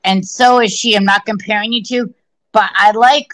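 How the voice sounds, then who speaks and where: A woman's voice: mid-range voice, steady tone, normal speed, a bit bright. A middle-aged woman chatting bluntly on a phone video call from her living room, swearing freely and restarting her sentences. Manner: blunt, profane, rambling with restarts. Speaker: guest caller. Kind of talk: casual video-call chat. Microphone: phone held close, video call.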